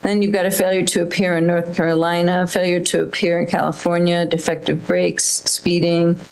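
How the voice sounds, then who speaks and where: A woman's voice: fairly low pitch, flat delivery, normal speed, a little mellow, rough recording. A woman judge ruling from the bench, firm and patient, often repeating words as she talks.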